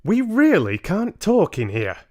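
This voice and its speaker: Storybook role: elderly grandmother, played by a male reader, courteous yet firm, raised pitch, measured delivery. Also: wildly swooping pitch, a little mellow, full character voice. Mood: bristling, firm. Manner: delicate, careful, insistent.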